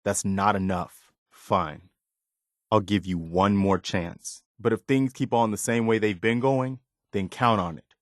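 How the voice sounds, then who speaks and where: A man's voice: lowish voice, fairly even intonation, measured pace, dry recording, studio quality, close to an everyday voice. A male actor voicing an earnest, weary, loyal militia soldier in a video game, speaking in a plain-spoken, folksy way. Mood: disappointed, stern. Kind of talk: dramatic video game dialogue.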